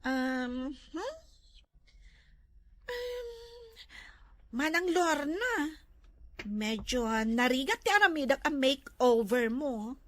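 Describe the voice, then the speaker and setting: A vain, giggly younger beautician with an Ilocano accent , acted by a woman. Her very high voice swings widely in pitch and takes its time. It is village comedy acting in a dry recording. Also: sing-song, drawn-out words, long pauses, a bit bright, no room sound, heavily acted. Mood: coy, confident.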